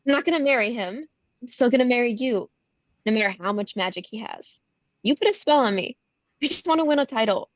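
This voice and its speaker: A woman's voice: fairly high pitch, a little fast, highs cut off, natural voice. A woman playing an earnest, conflicted pageant contestant at a screenplay table read. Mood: defensive, pleading.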